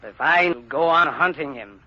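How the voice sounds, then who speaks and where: An actor playing a stern, relentless police hunter in an old radio drama. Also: male voice, on the high side, moderate pace, loud music behind the voice, natural voice. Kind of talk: vintage radio drama acting. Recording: muffled, hissy old radio recording.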